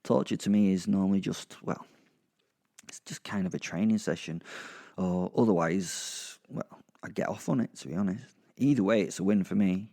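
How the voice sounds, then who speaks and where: A man acts out a sardonic, kinky werewolf in a horror audio drama, with a British accent. The voice is mellow and low, with heavy gravel and creak. He rambles irritably, swearing and breaking off for dry asides to the listener. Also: moderate pace. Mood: boastful, kinky. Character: crude, kinky, irritable male werewolf narrating his ordeal. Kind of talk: first-person comic horror audio drama narration.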